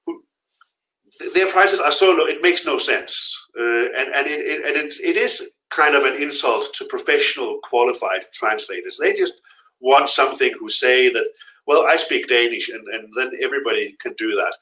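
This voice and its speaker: A man's voice: mid-range voice, normal speed, bright, forceful tone. A middle-aged man, a freelance translator, giving frank, blunt advice as a guest in a live interview. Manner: frank, blunt warnings with repeated emphasis. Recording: echoey room.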